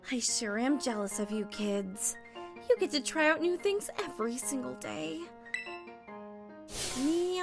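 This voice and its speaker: Cartoon fan dub: actress as chatty, friendly female nurse, high voice, self-deprecating, background music. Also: creaky, full character voice. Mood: friendly, teasing. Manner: chatty, casual, confiding. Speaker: school nurse character.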